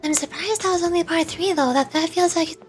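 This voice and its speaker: A woman's voice: extremely high pitch, brisk, faint music behind. A woman streaming a video game, giving casual commentary and thinking aloud in short bursts.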